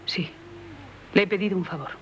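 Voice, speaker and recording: A woman's voice: on the low side, a little fast, a bit bright, slight background noise, lightly acted. An actress with a Spain Spanish accent playing an anguished, pleading young married woman in a 1970s radio drama. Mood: defensive. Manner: theatrical, emotional, pleading delivery. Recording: muffled 1978 radio broadcast recording.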